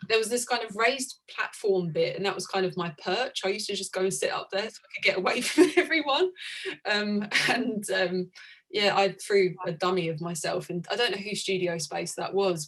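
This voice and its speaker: A woman's voice: on the low side, fairly bright tone. A woman artist in conversation, reflective, often searching for words and laughing easily. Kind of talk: conversation about art.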